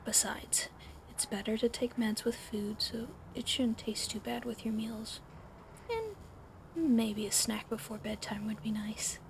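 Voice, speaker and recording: A woman whispering softly in an ASMR roleplay, caring but gently bossy, recorded close to the mic at home with a fan running behind her. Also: fairly high pitch, unhurried, creaky. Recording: fan noise in background.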